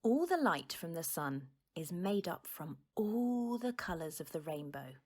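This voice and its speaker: An actress playing a patient, reassuring mother who gently explains things to her child in an audio drama. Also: fairly low pitch, big pitch swings, measured pace, slightly rough, full character voice.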